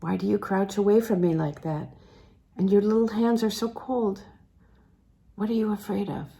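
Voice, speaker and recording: A woman reads the part of a melancholy older man in a literary audiobook, confessing his love. The voice is mellow and warm, gentle and earnest, with hesitant phrasing. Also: medium pitch, fairly even intonation, moderate pace, creaky, close to an everyday voice. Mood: gentle, reassuring.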